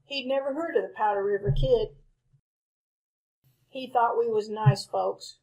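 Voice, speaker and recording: A woman acting as a frontier wife in an old-time radio drama, with a fairly high voice. Her American Western speech is plain, humble and halting, that of an anxious, devoted woman who has lived hard. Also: dark tone, rough recording. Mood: anxious, confessing. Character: plainspoken frontier outlaw's wife, anxious, loyal, once wild.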